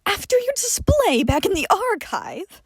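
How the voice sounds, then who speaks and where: A woman's voice: very high voice, big pitch swings, measured pace, no room sound, clean studio sound, voice pushed up, full character voice. A woman voicing a prickly, indignant educated man in an audio story, huffy, scornful and precise.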